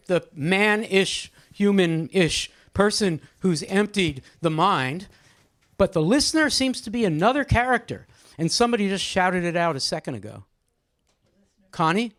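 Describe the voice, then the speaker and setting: A man leading a poetry discussion in a lively, questioning and encouraging seminar style. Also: high voice, unhurried. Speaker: discussion leader. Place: live group event with audience microphones.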